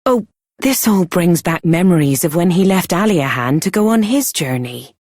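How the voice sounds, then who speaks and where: Doting British mum for a game: middle-aged, breathy, very lively intonation. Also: female voice, lowish voice, moderate pace, no echo, clean studio sound. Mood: concerned, tender. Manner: affectionate, motherly, expressive. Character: doting, chirpy middle-aged mother of a young hero. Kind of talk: scripted video game voice acting.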